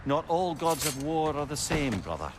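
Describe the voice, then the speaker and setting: An actor playing a witty, talkative older sage with a wry storyteller's manner in a video game. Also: male voice, on the high side, sing-song, moderate pace, noisy background. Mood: curious. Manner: chatty, wry, lilting storyteller. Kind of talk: dramatic video game acting.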